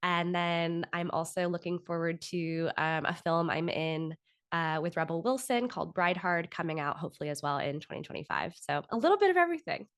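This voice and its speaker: A woman in her thirties with an American accent speaks in a lowish register. She answers interview questions conversationally, and the sound is dry, with very little room in it. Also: lively intonation, brisk, no room sound. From Florida, United States. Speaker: podcast guest, author and actor.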